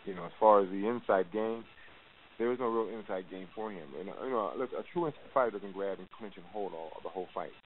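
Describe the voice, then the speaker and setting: A man's voice: low voice, quick pace. A man, a boxing coach answering press questions with emphatic, repetitive phrasing. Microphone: phone call. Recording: hissy, narrowband phone conference line.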